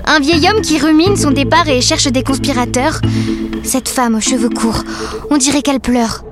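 A woman's voice: high voice, normal speed, over loud music, lightly acted. A woman voicing a tender, anxious, observant living rag doll who narrates an audio drama. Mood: observant, wistful. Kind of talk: scripted audio fiction narration.

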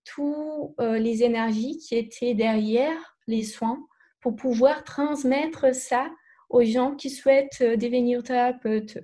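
A Brazilian woman speaking French with a Brazilian accent, a therapist guest in a podcast interview, talking hesitantly with many pauses and restarts. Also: on the high side, dark tone. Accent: Brazilian Portuguese.

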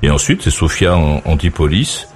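A man's voice: a bass voice, expressive, normal speed, soft background music. A man hosting a late-night call-in radio show, joking and rambling, laughing often as he talks with callers. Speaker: radio talk show host.

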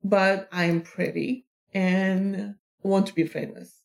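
Female interview guest, Korean accent, native Korean speaker, unhurried pace, vocal fry, halting, searching for words. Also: mid-range voice, little pitch movement, darkish tone. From South Korea. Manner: halting, searching for words in second-language English. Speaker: interview guest, plus-size model. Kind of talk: casual interview answers.

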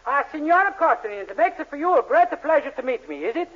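High-voiced fake Italian photographer. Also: male voice, very animated, fast. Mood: boastful. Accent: put-on stage Italian. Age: young adult. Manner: playful broken English, wisecracking. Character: naive, eager young man posing as an Italian photographer. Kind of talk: 1940s radio sitcom acting. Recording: muffled, noisy old radio recording.

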